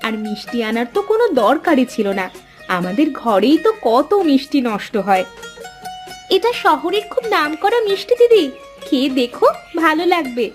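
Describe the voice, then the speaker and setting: Woman acting a high, animated cartoon village sister. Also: moderate pace. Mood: excited, welcoming. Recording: faint music behind the voice.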